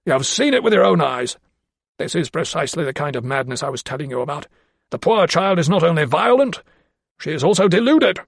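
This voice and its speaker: A pompous older lawyer, coldly menacing and patronising, his voice pitched a little above the reader's own, as a male narrator puts him on. The read is close and dry. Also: big pitch swings, a little fast, creaky, breathy edge, no room sound, full character voice. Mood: triumphant. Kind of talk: audiobook character voice.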